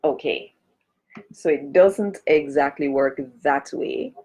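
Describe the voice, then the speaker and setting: A woman's voice: sits low, slow, darkish tone, breathy edge, low-quality recording. A woman from Jamaica chatting casually on a live stream as a cultural advocate, greeting and speaking straight to her viewers. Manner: chatty, greets and addresses viewers directly, gives blessings.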